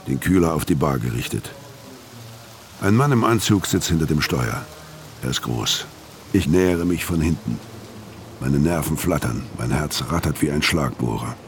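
An actor playing a weary, haunted older ex-convict, narrating a radio crime drama in a brooding, measured way. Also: male voice, rumbling low. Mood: tense. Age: 50s. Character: weary, haunted older male ex-convict telling his story.

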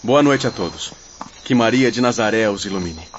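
Actor as commanding, formal spirit leader, muffled recording. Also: male voice, on the low side, lively intonation, normal speed, slight background noise, natural voice. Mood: solemn, kindly. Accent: Brazilian. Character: authoritative male spirit mission leader, brisk, formal, composed. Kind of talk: radio drama acting. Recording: dull lo-fi audio, faint night ambience.

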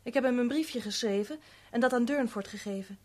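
An actress with a Netherlands Dutch accent playing a troubled, quietly determined young woman in a 1970s radio play, restrained and earnest. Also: fairly high pitch, brisk, close to an everyday voice. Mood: resolute.

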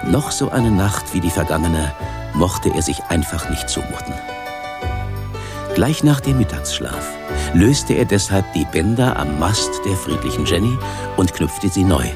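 A man narrating a children's sea adventure in measured, articulate scripted storybook style. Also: low voice.

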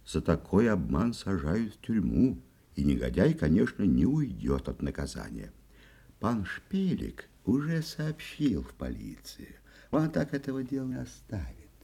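A man's voice: low voice, wildly swooping pitch, a little slow, creaky, mellow, breathy edge, heavily acted. An actor playing an earnest, indignant forester and father in a fairy-tale audio play, theatrical and emphatic.

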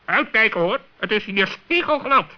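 An actor playing a fussy talking bird in a children's radio play, with a hemming way of speaking. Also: male voice, high-pitched, lively intonation, normal speed. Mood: alert. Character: fussy talking bird, travelling companion of a gnome. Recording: dull old radio play recording.

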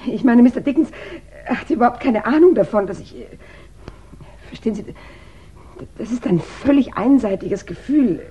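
An actress playing a defensive, emotional widow in a 1960s German radio crime drama, hesitant and intense. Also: female voice, on the high side, expressive, moderate pace, dark tone, dull audio, lightly acted. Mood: confessing, agitated.

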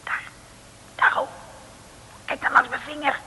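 A young female detective's helper in a vintage radio comedy. She is excitable and deferential, a touch nervous, with a bright, keen voice. The recording is old, with hiss and clicks. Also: measured pace, poor audio. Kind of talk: mid-century Dutch comic radio serial acting.

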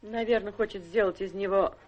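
A woman's voice: medium pitch, steady tone, brisk, lo-fi sound, close to an everyday voice. An actress playing a weary, plaintive elderly mother from a poor household in a radio drama. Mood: bitter reproach. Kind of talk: Russian radio drama stage acting.

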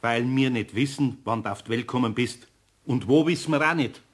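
A man playing a patient, gently amused older craftsman with a homely Bavarian accent and husky voice in a German radio play. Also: medium pitch, a little fast, lightly acted. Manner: homely, indulgent, dialect-speaking.

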